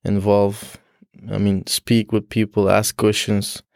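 A young man from Afghanistan whose first language is Dari Persian, speaking as a student guest in an interview, halting with frequent restarts and fillers. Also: fairly low pitch, completely flat delivery, gravelly, darkish tone, no echo, studio quality. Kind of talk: casual interview.

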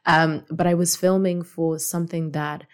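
A woman hosting a casual solo podcast as a coach, her voice slightly raspy, asking the listener reflective questions. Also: low voice, level tone, moderate pace.

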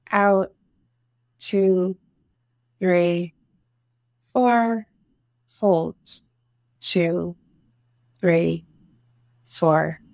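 A woman leading a guided meditation, giving soothing instructions in short phrases with long pauses between them. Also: fairly low pitch, darkish tone, no room sound. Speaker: meditation guide, breathwork teacher.

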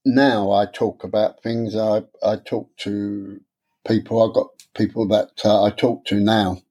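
Soft, halting man with a stammer. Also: on the low side, level tone. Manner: halting, repeats words, soft-spoken, candid. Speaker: interviewee. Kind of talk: personal interview.

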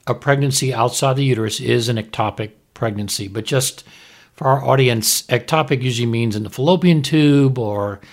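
Male doctor co-host, husky with noticeable fry, conversational explainer who uses plain terms and prompting questions. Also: medium pitch, normal speed. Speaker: doctor explaining a TV medical drama as podcast co-host.

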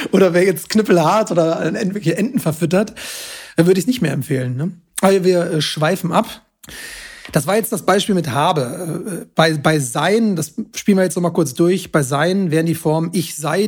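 Slightly husky man explaining in casual talk. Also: fairly high pitch, a little mellow. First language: German. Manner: self-confessed language nerd, pedantic yet chatty. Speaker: podcast host explaining grammar.

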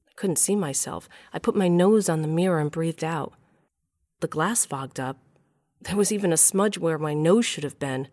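A woman's voice: low voice, darkish tone. An actress playing a wry, shaken middle-aged mother and scientist who narrates her own story confessionally in an audio drama. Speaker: narrator and lead character. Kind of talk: first-person audio drama narration and dialogue.

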